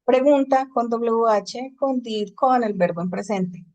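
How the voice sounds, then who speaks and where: A woman's voice: on the high side, takes it slowly, darkish tone, muffled recording. Woman teaching an English class. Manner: explains in Spanish, switches to English for examples. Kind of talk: online class lecture.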